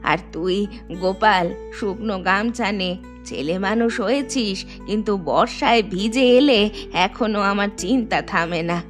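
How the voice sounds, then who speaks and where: A woman's voice: mid-range voice, faint music behind, close to an everyday voice. A woman voicing an elderly Bengali mother-in-law in a cartoon, firm and traditional, fondly chiding and instructing. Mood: fondly fussing. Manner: unhurried, instructive, gently chiding. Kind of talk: animated moral story voice acting.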